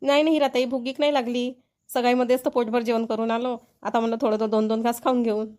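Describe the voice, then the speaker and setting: A woman's voice: fairly high pitch, level tone, quick pace, a little mellow, lightly acted. A woman voicing a chatty, sociable village sister-in-law in a family cartoon. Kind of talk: cartoon family comedy voice acting.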